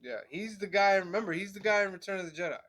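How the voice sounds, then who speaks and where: Nasal, bright-voiced man with allergies. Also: high-pitched, quick pace. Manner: relaxed, chatty, with frequent "um"s. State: stuffy nose from allergies. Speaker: podcast co-host. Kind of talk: casual review chat.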